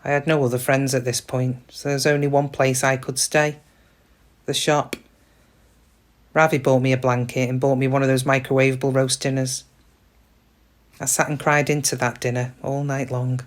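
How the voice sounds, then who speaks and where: A woman's voice: extremely low pitch, flat delivery, normal speed, long pauses. A middle-aged woman playing a downtrodden shop cashier in a reflective, confiding, plain-spoken dramatic monologue about her regrets. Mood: wistful, sad. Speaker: actor in a monologue. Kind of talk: first-person dramatic monologue.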